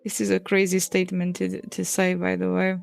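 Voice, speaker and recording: A woman's voice: lowish voice, completely flat delivery, brisk, vocal fry, faint music behind. A woman in her twenties, a native Portuguese speaker, chatting casually on a stream while she draws, swearing and laughing often. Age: 20s. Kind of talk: casual chat while drawing.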